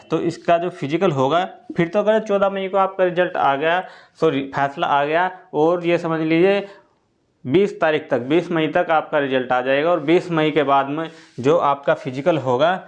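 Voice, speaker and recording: A man with a fairly high speaking voice gives viewers a casual, unscripted update. He talks straight to them and keeps circling back to restate each point and date. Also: unhurried. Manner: addresses listeners directly throughout. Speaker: exam-update video host. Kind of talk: casual spoken explainer to viewers.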